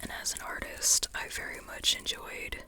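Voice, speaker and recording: A person whispering an informal, rambling ASMR book chat in a breathy, unvoiced voice, like a friend talking on and on. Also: normal speed, pushed and bright. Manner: informal, rambling, often checking in with "you know". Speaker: book reviewer and artist.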